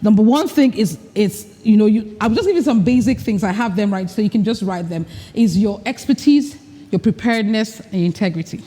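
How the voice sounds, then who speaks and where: A woman's voice: medium pitch, fairly quick, dark tone. Coaching woman in a reverberant hall. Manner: direct, coaching, acts out mock dialogue. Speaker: speaker at a women's leadership retreat. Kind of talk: live workshop talk with breathing exercises. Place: large event hall with an audience. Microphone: recording mic, not amplified.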